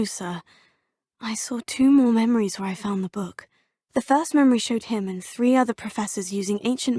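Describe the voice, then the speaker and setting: A woman's voice: a little high, a little mellow, breathy edge, no echo. An actress voicing a polite, determined young female student of magic in a video game, speaking in a courteous, composed, formal manner. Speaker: player character voice. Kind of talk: video game dialogue lines. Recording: dry studio booth.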